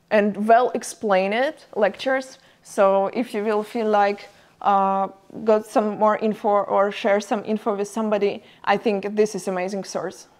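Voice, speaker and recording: A young woman with as her giving a university lecture in halting English, with many fillers. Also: mid-range voice, clear, resonant tone. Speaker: guest lecturer. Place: lecture room.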